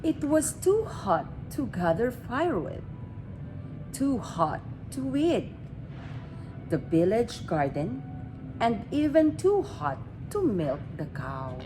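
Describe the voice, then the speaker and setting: Woman, children's storyteller, very lively pitch, unhurried, drawn-out words, noisy recording. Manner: expressive, deliberate phrasing with drawn-out words. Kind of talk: read-aloud storytelling for children. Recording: clearly noisy amateur recording.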